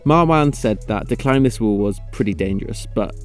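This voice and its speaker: A man reading scripted voice-over narration in a measured, slightly wry tone. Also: lowish voice, expressive. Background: music.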